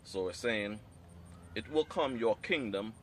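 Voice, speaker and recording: A man teaching a Hebrew class, explaining in a measured way and reading scripture aloud. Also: fairly low pitch, expressive, slight background noise. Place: outdoor training camp.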